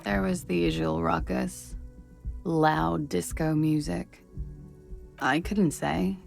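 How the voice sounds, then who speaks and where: A reserved, weary woman in a video game, her slightly low voice full of heavy fry. She speaks politely and very slowly, with a dry, deadpan delivery over music. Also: full character voice. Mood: dry, measured. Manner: unhurried, deadpan, measured. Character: reserved, polite, weary foreign woman recounting a rough night. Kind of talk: understated video game dialogue acting.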